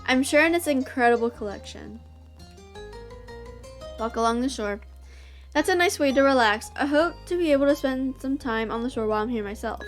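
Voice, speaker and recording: A woman's voice: high-pitched, moderate pace, long pauses, faint music behind, lightly acted. A woman with a stuffy nose reading the lines of a friendly, easygoing mother of a teenage boy in a visual novel, lightly teasing. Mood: breezy.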